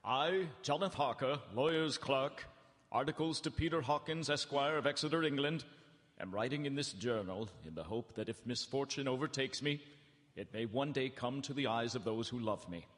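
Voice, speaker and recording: A man's voice: medium pitch, moderate pace, fairly bright tone, natural voice. A man playing an earnest lawyer's clerk reading his journal in 1930s radio drama style. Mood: earnest, foreboding. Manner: formal, earnest recitation. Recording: echoey town hall stage.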